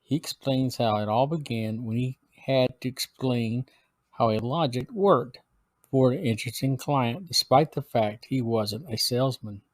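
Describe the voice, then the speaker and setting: A man hosting a podcast, reading a scripted episode review in a measured way. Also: mid-range voice, no room sound. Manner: measured, read-aloud delivery. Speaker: podcast host.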